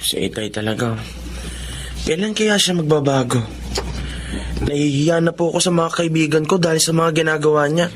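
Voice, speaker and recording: A man's voice: fairly high pitch, normal speed, pauses often, background noise, lightly acted. A Filipino man playing a conscientious, respectful young adult son in a radio drama, polite and earnest. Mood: dismayed.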